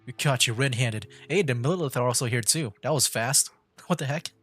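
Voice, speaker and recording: Male VTuber, young adult, medium pitch, casual live gameplay reactions. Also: brisk, a bit bright. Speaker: livestreamer, VTuber gamer.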